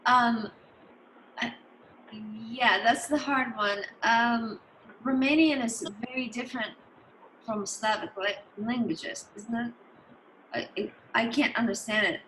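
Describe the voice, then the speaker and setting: A woman's voice: on the high side, takes it slowly, fairly bright tone, slight background noise, rough recording. A woman from Georgia, a native Georgian speaker, chatting casually on a podcast, hesitant and repeating words as she starts. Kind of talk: casual conversation.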